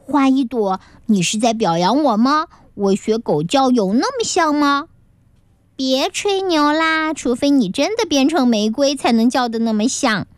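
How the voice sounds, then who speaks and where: A woman's voice: sits high, lively intonation, unhurried, dry recording, studio quality. A woman voicing a pouty, teasing young child who turns whiny and squealing, in a children's story. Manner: whiny, squealing when upset.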